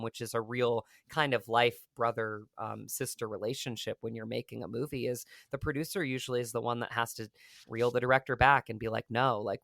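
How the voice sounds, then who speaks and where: A man's voice: mid-range voice, creaky, a bit bright, dry recording. A man, an actor being interviewed, talking earnestly and at length with many fillers and restarts. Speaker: actor, podcast guest. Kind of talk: casual interview talk.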